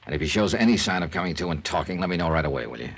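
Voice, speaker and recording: An actor playing a shrewd, skeptical insurance investigator in a 1950s American radio drama, with clipped, wry, hard-boiled delivery. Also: male voice, low-pitched, lively intonation, very fast, husky. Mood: businesslike.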